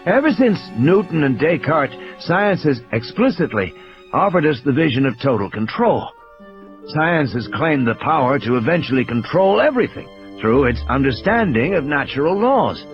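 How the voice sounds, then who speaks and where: An intense, ailing male scientist, acted by a man reading an audiobook lectures grimly over music in slightly muffled audio. His delivery is emphatic and rhetorical, with a very animated melody. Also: on the high side. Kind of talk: audiobook character dialogue. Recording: dull lo-fi audio, music behind.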